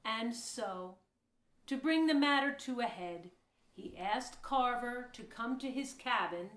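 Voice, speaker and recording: A woman narrating a historical audio drama in a measured, formal storytelling manner. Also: a little high, expressive, fairly bright tone. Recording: roomy, echoey voice recording.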